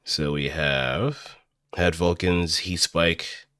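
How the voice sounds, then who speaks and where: A low, deep male voice with a very bright, forceful edge, recorded close and dry. He talks like a casual streamer, working out his thoughts aloud and making things up as he goes. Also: fairly even intonation, extremely slow, clean tone, no echo. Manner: hesitant, thinking aloud, improvising as he goes. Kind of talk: casual rambling livestream commentary.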